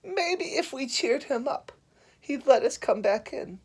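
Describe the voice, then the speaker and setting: An eager female zebra from a picture book, voiced by a reader, pitched high. She is helpful and earnest, stretching out her phrases. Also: expressive, a little slow, a little mellow, husky, heavily acted.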